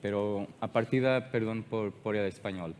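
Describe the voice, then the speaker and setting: A man's voice: on the low side, a little mellow, slight background noise. Portuguese-accented man lecturing in a hall. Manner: halting, searches for words and restarts often. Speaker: conference speaker. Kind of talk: technical conference presentation.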